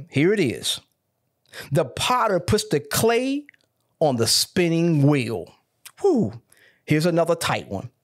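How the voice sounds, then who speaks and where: A man's voice: on the high side, slightly rough. A male pastor preaching a sermon, building emphatic points and calling out to the congregation. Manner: preaching with rising emphatic calls.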